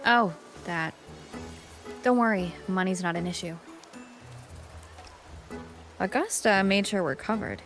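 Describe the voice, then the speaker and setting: A woman voicing a thoughtful young champion adventurer in a video game, measured and earnest. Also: mid-range voice, fairly bright tone, soft background music. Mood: reassuring. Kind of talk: naturalistic video game character acting.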